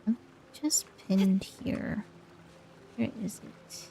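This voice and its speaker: Slow, mellow woman casually commenting on gameplay. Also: medium pitch, creaky, slight background noise. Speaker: game streamer.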